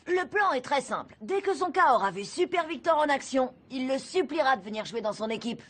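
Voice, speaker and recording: A woman's voice: high voice, expressive, a little fast, faint music behind. A woman voicing a cocky, scheming schoolboy in a cartoon, confident and persuasive. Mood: confident, plotting. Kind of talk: French animated cartoon acting.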